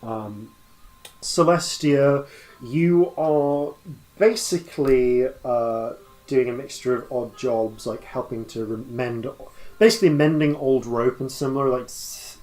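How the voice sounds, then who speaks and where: Slow-paced man narrating a tabletop game. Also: medium pitch, a little mellow, low-quality recording. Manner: halting, thinking aloud. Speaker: game master.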